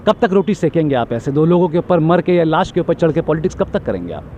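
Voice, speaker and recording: A man's voice: fairly high pitch, big pitch swings, quick pace, dark tone, highs cut off, slight background noise. A man giving an impassioned street interview, talking emphatically in long repetitive lists and stammering when agitated.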